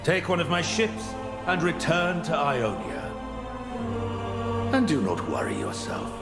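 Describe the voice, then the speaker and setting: Stately noble ruler, echoing hall. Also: male voice, fairly high pitch, huge pitch swings, a little slow, vocal fry, loud music behind the voice, exaggerated voice. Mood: solemn, magnanimous. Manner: stately, formal proclamation. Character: noble young royal ruler, solemn and magnanimous. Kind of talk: video game cinematic voice acting.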